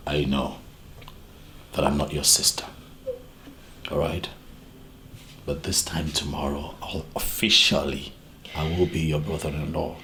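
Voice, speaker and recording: A man's voice: a bass voice, creaky, sharp tone, a little hiss or hum, heavily acted. An actor playing an earnest husband in a film drama, soothing and pleading, repeating his words insistently. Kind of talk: naturalistic film drama acting. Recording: roomy echo, faint background music.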